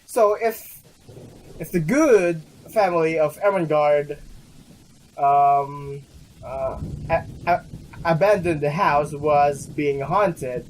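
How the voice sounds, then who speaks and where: A man's voice: a little high, a little hiss or hum, rough recording. A young man doing casual let's play commentary, stumbling and rambling as he reads game text aloud. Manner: halting, stumbling, rambling, mild swearing. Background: game music.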